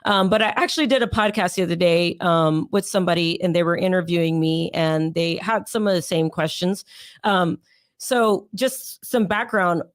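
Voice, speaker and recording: A young adult woman, hoarse and raspy from sinus pressure, chatting as an interview guest in a rambling way with many fillers. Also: on the low side, normal speed, no echo, clean studio sound. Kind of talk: casual interview storytelling.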